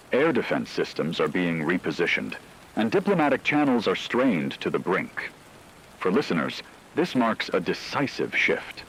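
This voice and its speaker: A man playing a solemn radio correspondent, reading scripted emergency news in a formal, measured way. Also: sits low, a bit bright, a little hiss or hum, full character voice, poor audio. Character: grave male radio war correspondent in fictional broadcast.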